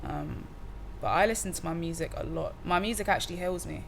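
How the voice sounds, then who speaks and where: Young woman musician, low-pitched, easygoing slangy talker, faint room hiss behind. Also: fairly quick, creaky. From Cardiff, Wales. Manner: relaxed, slangy, many fillers and restarts. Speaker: musician guest. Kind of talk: casual interview chat.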